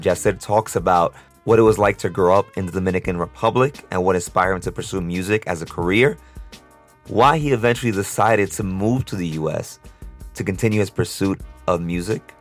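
A man from Brooklyn hosting a casual podcast interview, upbeat and chatty, laughing often. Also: deep voice. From Brooklyn, New York. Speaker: podcast host.

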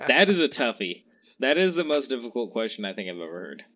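A man's voice: medium pitch, big pitch swings, fast talker, a bit bright. A man in his twenties co-hosting a casual podcast, rambling and joking with frequent laughs. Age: 20s. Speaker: podcast co-host. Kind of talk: casual chat.

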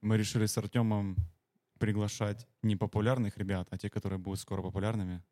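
A man in his thirties talks quickly in a low, flat voice on a dry close mic. He hosts a podcast and makes deadpan remarks. The delivery stays even throughout. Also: no echo. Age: 30s. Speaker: podcast host. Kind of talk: casual podcast chat.

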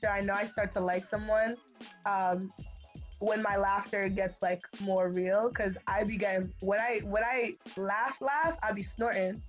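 A young woman co-hosting a podcast, talking fast and slangy in casual chat with friends. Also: medium pitch, steady tone, soft background music. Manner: fast, slangy, rushes words. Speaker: podcast co-host.

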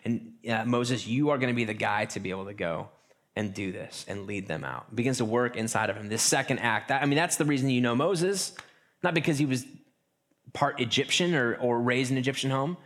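A man preaching a sermon in a relaxed, conversational way, often restarting phrases and slipping into quick acted asides. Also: mid-range voice. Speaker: pastor.

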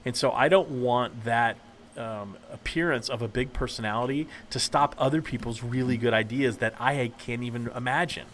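A man on a discussion panel, opinionated and self-described as loud, starting his sentences over and over. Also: medium pitch, normal speed, a little hiss or hum. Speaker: panel guest.

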